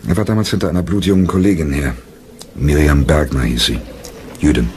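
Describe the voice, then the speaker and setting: An actor playing a bitter, dry-humoured theatre leader in a radio play, with measured delivery. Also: male voice, very deep voice, expressive, a little mellow. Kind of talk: German radio play acting. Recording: clearly noisy background.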